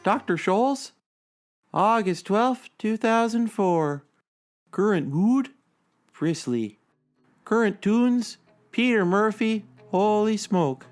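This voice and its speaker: An actor playing a prissy, self-conscious oddball blogger, reading a comic blog in a deliberate, defensive deadpan. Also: mid-range voice. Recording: music under the voice.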